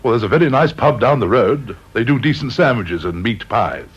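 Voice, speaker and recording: A man's voice: medium pitch, lively intonation, normal speed, breathy edge. A man with an English accent playing a flustered, genial committee man in a radio drama, hesitant and anxious to please. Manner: stammering, hesitant, apologetic. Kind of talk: British radio drama acting. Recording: dull, lo-fi radio recording.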